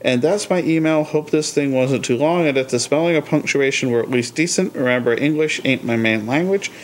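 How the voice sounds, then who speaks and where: A man's voice: medium pitch, fairly quick, slight background noise. A man co-hosting a podcast, reading a listener email and cracking dry jokes. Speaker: podcast co-host.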